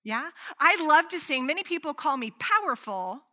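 A woman's voice: high-pitched, very animated, moderate pace, bright, forceful tone. A woman pastor preaching a sermon in a church, chatty and playful with a strong voice and lots of casual asides. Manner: chatty and playful, with frequent "like" fillers. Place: church sanctuary.

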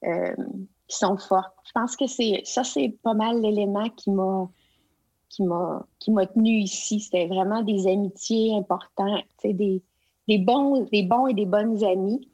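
Quebec woman, halting and chatty. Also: mid-range voice, mellow, no room sound, lo-fi sound. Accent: Quebec French. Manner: hesitant, many fillers and restarts. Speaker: guest, provincial education consultant. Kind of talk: casual interview conversation.

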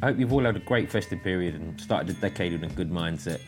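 Man, very deep voice, laid-back slangy podcast host, music under the voice. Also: quick pace. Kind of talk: casual interview chat. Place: podcast studio. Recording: music behind the voice.

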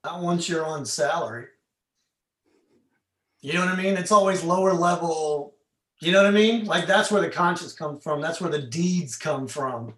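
A man on a video call making his point in an animated way, checking over and over that he is understood. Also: a little high, moderate pace. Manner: animated, keeps asking "you know what I mean". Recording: echoey room, far from the mic.

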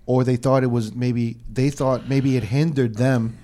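A man's voice: mid-range voice, steady tone, unhurried, warm tone. A man from New York, a podcast host, talking emphatically in a casual group chat and often restarting his sentences. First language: English.

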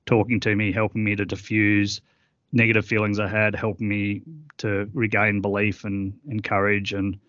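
Deep, flat-voiced middle-aged man. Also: no room sound, dull audio. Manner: earnest, halting with many fillers. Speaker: author and interview guest. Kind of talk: reflective interview conversation.

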